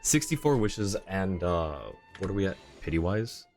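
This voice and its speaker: Low-voiced man chatting on a stream. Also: animated, moderate pace, a little mellow, soft background music. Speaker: game livestreamer. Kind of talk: casual livestream chat.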